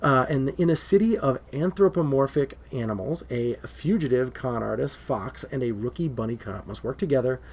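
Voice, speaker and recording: A man's voice: medium pitch, moderate pace, hardly any pauses, darkish tone, a little hiss or hum. A man co-hosting a casual movie talk show, laughing often and filling with "um", whose voice is starting to go. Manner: laughs often, lots of fillers. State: losing his voice. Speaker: podcast co-host.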